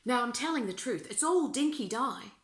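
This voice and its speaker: A woman reading rhyming children's verse aloud as an expressive storyteller. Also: a little high, moderate pace. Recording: roomy, echoing indoor sound.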